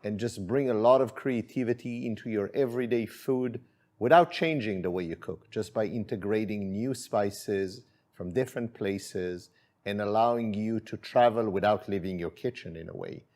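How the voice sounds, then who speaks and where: Relaxed man demoing a recipe. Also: lowish voice, moderate pace. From Israel. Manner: relaxed, friendly, with many fillers. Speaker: chef and spice company owner. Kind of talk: cooking demonstration. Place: kitchen.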